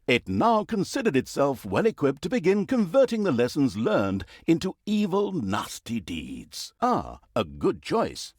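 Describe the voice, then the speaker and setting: An actor playing a pompous, droll, easily exasperated storybook narrator in a video game, with theatrical delivery. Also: male voice, mid-range voice, a little mellow, slightly rough, no room sound, studio quality, heavily acted. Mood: dryly mocking.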